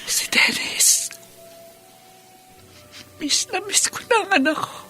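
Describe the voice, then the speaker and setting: A woman's voice: pushed and bright, soft background music. A woman playing a weak, bereaved older mother in a Filipino radio drama, plaintive and tearful. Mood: grieving, frail. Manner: slow, plaintive.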